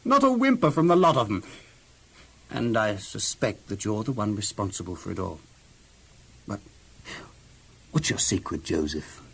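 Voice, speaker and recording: An actor playing a wealthy, effusive master of an ancient household in a children's audio Bible drama, speaking expansively with emphatic, halting repetitions. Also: male voice, on the low side, sing-song, gravelly, slightly rough, exaggerated voice. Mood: probing, approving.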